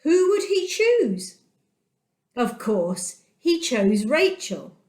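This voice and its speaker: A woman tells a children's Bible story in a fairly high, very animated voice. She takes her time, pausing dramatically for emphasis like someone reading aloud to young listeners. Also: echoey room.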